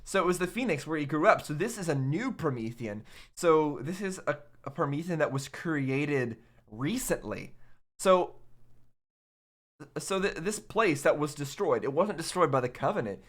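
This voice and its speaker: A man's voice: fairly high pitch, animated, moderate pace. A man giving casual, off-the-cuff reaction commentary as host, thinking aloud in a rambling way and repeating himself.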